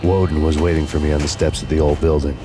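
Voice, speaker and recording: An actor playing a vengeful, world-weary American detective, narrating a noir game story in a deadpan, sardonic manner. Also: male voice, very deep voice, quick pace, music in the background. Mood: wry, deadpan. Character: vengeful world-weary hard-boiled male detective, bitterly wry.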